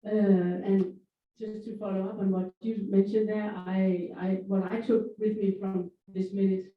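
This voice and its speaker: A woman's voice: medium pitch, little pitch movement, a little slow, slight background noise. A woman from Denmark making an earnest audience comment at a workshop, urging others to act. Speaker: workshop participant. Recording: thin phone-like sound, echoey hall, far.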